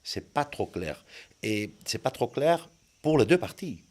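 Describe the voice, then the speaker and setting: A man's voice: medium pitch, big pitch swings, measured pace, a little mellow. A man who is not a native French speaker, answering interview questions hesitantly, with many fillers and restarts. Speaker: guest, communication expert.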